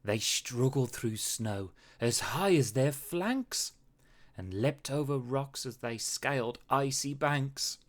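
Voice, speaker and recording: A storyteller reading a rhyming children's story aloud with expressive, dramatic phrasing. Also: mid-range voice, slow pace. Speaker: storybook reader. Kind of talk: children's read-aloud narration in rhyme.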